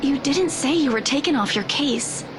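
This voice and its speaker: An anxious, selfless young woman from a visual novel game. Her voice is very high, and she speaks earnestly and hesitantly, as if guilty. There is clear noise behind her. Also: expressive, moderate pace. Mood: worried.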